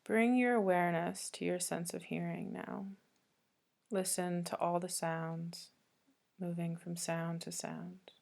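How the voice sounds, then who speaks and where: A woman yoga teacher leading a guided meditation, speaking calmly and gently with long pauses between soothing instructions. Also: on the low side, creaky.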